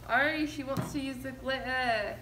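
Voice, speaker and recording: High-pitched woman co-hosting, noisy recording. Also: fairly even intonation, moderate pace. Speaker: craft video co-host. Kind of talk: casual playful chat. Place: indoor room with decorated backdrop. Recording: clear room noise, table-distance camera.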